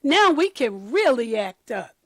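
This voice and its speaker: A woman's voice: a little high, sing-song, unhurried, no room sound, clean studio sound. A woman voicing rowdy, mischievous schoolchildren in a storybook, exclaiming cheekily. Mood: gleeful, mischievous.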